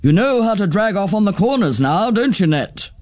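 A woman's voice: mid-range voice, very animated, moderate pace, a little mellow, pitched above the performer's own voice, lightly acted. A woman voicing a flamboyant, bold biker auntie in a storybook reading, brash and breezy.

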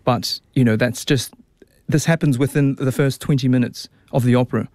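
A young man with a New Zealand accent talks easily as a radio guest, often restarting his sentences. His voice sits in a middle pitch. The sound is dry and close, with no room around it. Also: fairly even intonation, normal speed, a little mellow, dry recording. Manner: chatty, many restarts and fillers. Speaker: opera tenor, guest. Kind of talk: relaxed radio interview.

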